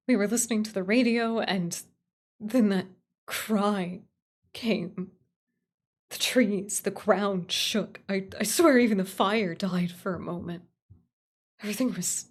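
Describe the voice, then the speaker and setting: A woman playing a terrified camper in a horror radio play, halting and whispering as if possessed by fear. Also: medium pitch. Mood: shaken, haunted. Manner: halting, breathy whispering, drawn-out words.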